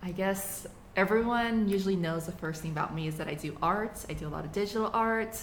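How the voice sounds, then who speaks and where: A young woman born in the United States, chatting casually as a student podcast co-host, relaxed and laughing often. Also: medium pitch, brisk. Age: young adult. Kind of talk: casual chat. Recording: echoey room, faint hiss.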